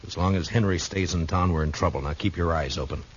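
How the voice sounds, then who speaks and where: A man acting a grim, steady American marshal in a radio western, laconic and authoritative. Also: low voice, quick pace, slight background noise, natural voice. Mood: urgent, commanding. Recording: dull vintage 1950s radio recording with music.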